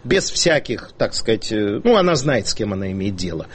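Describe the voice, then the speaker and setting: A man's voice: fairly low pitch, sing-song, a little fast, slight background noise. A man giving political commentary on a radio talk show, ironic and emphatic, with frequent hesitations. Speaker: studio guest commentator. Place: radio studio.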